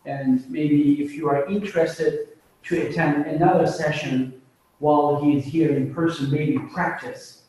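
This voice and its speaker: A man's voice: on the high side, steady tone, unhurried, darkish tone. A man introducing a guest lecturer to a classroom, talking loosely and correcting himself as he goes. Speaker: session organizer introducing speaker. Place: lecture room with tiered seats. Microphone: far room mic. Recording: echoey room from far off.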